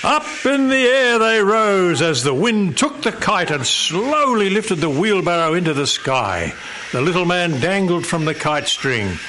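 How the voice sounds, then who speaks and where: A man narrates a scripted tale for radio theatre. His fairly high voice moves with lively intonation, over clearly audible noise. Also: a little slow. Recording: noisy live radio studio recording.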